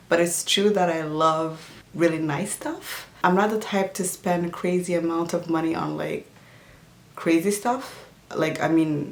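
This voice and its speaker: Easygoing woman in an echoey room. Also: lowish voice. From Djibouti. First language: not English. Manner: easygoing, chatty, many fillers and restarts. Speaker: health and nutrition vlogger. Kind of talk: casual Q&A vlog. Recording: roomy echo.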